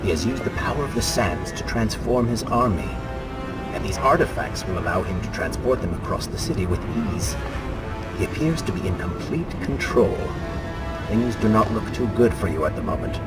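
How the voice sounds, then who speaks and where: Male actor as dark alter ego, low swooping voice, sly, mocking, drawn-out, video game drama. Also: expressive, lightly acted. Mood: coolly taunting. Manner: smooth-talking, taunting, drawn-out delivery. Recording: loud music behind the voice.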